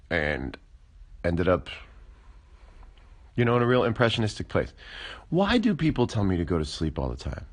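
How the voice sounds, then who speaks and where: A man of forty with a very low voice that still swings widely in pitch as he riffs. He talks casually and wryly on a phone livestream, drifting from musing to dry, deadpan jokes. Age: 40s. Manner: wry, musing, deadpan asides. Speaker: livestream host.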